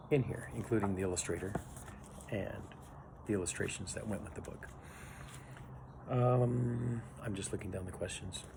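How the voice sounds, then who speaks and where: A man who teaches young children, giving an informal spoken reflection on his assignment in a halting way, pausing as he thinks aloud. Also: low voice, vocal fry. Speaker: pre-K teacher and education student. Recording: noisy recording with steady background noise.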